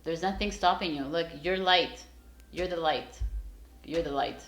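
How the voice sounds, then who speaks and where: A woman's voice: low-pitched, a little slow, fairly bright tone, roomy sound, slight background noise. A woman giving a casual, encouraging tarot reading straight to her viewers, rambling with many fillers and restarts. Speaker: tarot reader.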